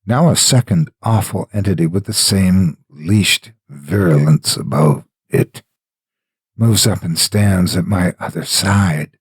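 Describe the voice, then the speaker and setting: A man with a husky voice, a storyteller delivering scripted narration in deliberate, theatrical phrasing. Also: low-pitched, dry recording. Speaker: horror story narrator and host. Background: faint music.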